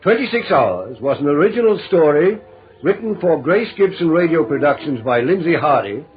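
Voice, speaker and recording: A man announcing scripted radio drama credits in a formal, measured 1950s style. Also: fairly high pitch, very animated. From Australia. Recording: muffled 1950s wire recording of radio. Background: faint music.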